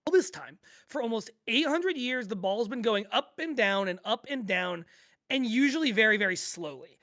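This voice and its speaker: Casting: woman as lively, bright-voiced football-fan podcast co-host, amused and exasperated, casual and sweary. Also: a little high, moderate pace. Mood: incredulous. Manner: conversational, amused, giggly, sweary. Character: animated, exasperated sports-fan co-host recounting an absurd endless football game. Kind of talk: scripted podcast table read as casual sports chat.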